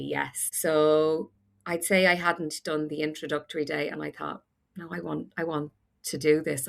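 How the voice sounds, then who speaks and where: Irish woman, forties, low gravelly voice, frank storyteller with a wry edge. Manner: frank, animated, self-deprecating humour. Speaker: podcast guest. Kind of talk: candid storytelling chat.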